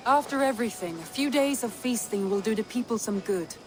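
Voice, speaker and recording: A woman playing a composed, loving Norse stateswoman in a video game, with formal, deliberate and sincere delivery. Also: on the high side, lively intonation, over music, close to an everyday voice, rough recording. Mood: warm, relaxed. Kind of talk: video game dramatic dialogue. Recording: outdoor ambient noise behind voice.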